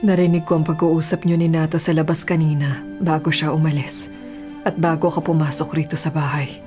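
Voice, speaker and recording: A woman's voice: low voice, little pitch movement, a little fast, over music, lightly acted. An actress playing an anguished, imploring wife of a hitman in a Filipino radio drama, full of emotion. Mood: worried, hushed. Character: anguished, pleading wife of a hitman, adult woman.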